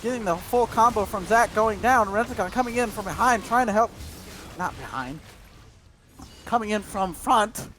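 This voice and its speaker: Male play-by-play caster, high voice, breathless rapid calls, light background noise. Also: fairly bright tone. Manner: rapid breathless calls, tripping over words. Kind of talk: esports play-by-play commentary.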